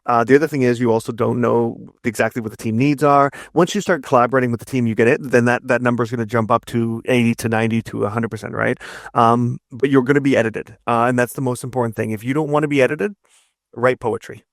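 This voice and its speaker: A man with a low-creaking, gravelly voice talks like an instructor in an interview. He explains emphatically, doubling back on words and tagging points with "right?", in a dry, close sound. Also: steady tone, quick pace, no room sound. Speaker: narrative design instructor, podcast guest. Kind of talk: conversational interview, explaining craft advice.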